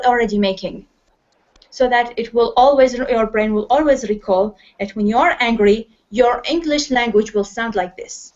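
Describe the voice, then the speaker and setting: Iraqi woman teaching over a call. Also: on the high side. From Iraq. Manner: hesitant, many fillers and restarts. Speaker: English class teacher. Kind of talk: online class instruction. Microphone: computer mic on a video call. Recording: lo-fi online call audio.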